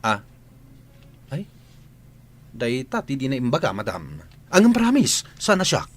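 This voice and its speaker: An actor playing a nervous, gossipy household servant in an Ilocano comedy radio drama, stammering and exclaiming in a husky voice. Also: male voice, medium pitch, huge pitch swings, unhurried, slight background noise. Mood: nervous excitement.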